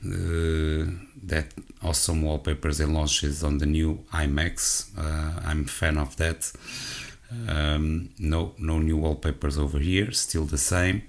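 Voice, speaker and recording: A man from Portugal with a Portuguese accent, hosting a software walkthrough in English as his second language, hesitant and full of self-corrections, talking straight to his viewers. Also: very deep voice, little pitch movement, slow. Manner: addresses viewers directly. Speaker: tech channel host. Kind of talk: screen-recorded software walkthrough.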